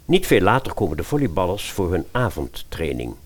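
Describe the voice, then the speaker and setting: A man's voice: low-pitched, big pitch swings, a little fast. A man narrating a radio fairy tale in a dramatic storytelling style.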